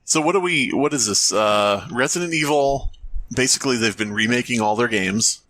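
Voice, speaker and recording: A man's voice: medium pitch, normal speed, fairly bright tone, slight background noise. A man co-hosting a podcast with casual, joking, profane banter. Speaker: podcast co-host.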